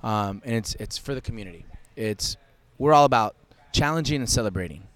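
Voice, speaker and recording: A man talking casually as a podcast guest, relaxed and joking, with many fillers. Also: fairly low pitch, fairly quick, dry recording. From United States, Cuban family. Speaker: co-founder of a fitness festival, podcast guest.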